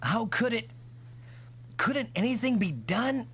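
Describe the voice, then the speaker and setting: An actor playing an earnest male mech pilot hero with a husky voice in an anime-style game dub. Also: very high-pitched, sing-song, takes it slowly, a bit bright, a little hiss or hum, full character voice. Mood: questioning, shocked.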